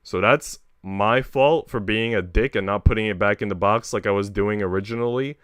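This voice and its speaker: A man's voice: lowish voice, moderate pace, no room sound, studio quality. A man talking casually on a livestream, rambling along in slangy speech and swearing freely. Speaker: streamer reading a visual novel aloud.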